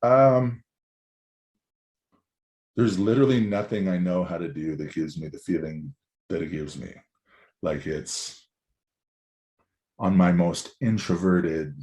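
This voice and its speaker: A man in his forties, a musician guest with a raspy, hoarse voice, talking in a loose, rambling way that is sprinkled with swearing. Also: low-pitched, slow pace, pauses often, a little mellow. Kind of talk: casual chat.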